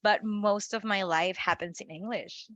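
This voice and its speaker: Bright animated woman from Mexico. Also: mid-range voice, measured pace, dry recording. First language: Spanish. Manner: animated, laughs often, many restarts. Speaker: marketing consultant guest. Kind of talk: casual interview.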